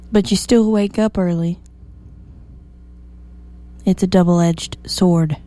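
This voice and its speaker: A woman hosting a morning radio show, slightly hoarse, chatty and upbeat as she reads the weather. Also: medium pitch, dark tone. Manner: chatty, upbeat, self-joking. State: a little hoarse.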